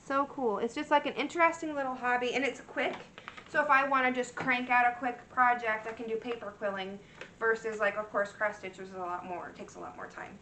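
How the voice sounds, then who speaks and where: A woman's voice: a little high, bright, forceful tone, slight background noise. A woman in her thirties chatting casually and rambling as she shows her craft projects, her voice a little worn. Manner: rambling, chatty, full of fillers and restarts. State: slightly worn voice. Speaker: cross-stitch vlogger. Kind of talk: casual show-and-tell chat. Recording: echoey room, mic at a distance.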